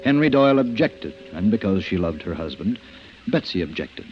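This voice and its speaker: A man narrating a vintage radio science fiction tale, solemn and measured with dramatic phrasing. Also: low-pitched, very animated. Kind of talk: old-time radio scripted narration. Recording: muffled vintage radio recording. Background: music.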